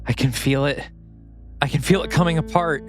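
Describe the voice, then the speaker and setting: A man's voice: a little high, normal speed, soft background music, close to an everyday voice. A man voicing a battered, weary man with a pleading, strained delivery in a horror script reading. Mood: frightened.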